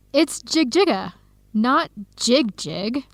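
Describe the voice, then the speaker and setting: An actress playing an earnest young American woman researcher in a radio drama, with clear, expressive, carefully enunciated speech. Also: sits high, no room sound, exaggerated voice. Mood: cheerful. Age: young adult. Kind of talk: scripted radio drama dialogue.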